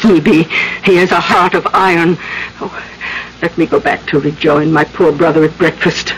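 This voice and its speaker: A woman's voice: sits low, steady tone, normal speed, a little hiss or hum, lightly acted, poor audio. An actress playing an old, scowling spinster in a radio drama, fretful, hesitant and tenderly protective of her brother. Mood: bitter, worried. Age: older.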